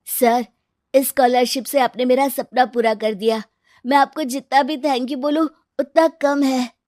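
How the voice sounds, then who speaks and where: Woman voicing an earnest high-pitched young boy. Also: steady tone, a little slow, darkish tone, dry recording, studio quality. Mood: grateful. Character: earnest poor young boy, hardworking and grateful.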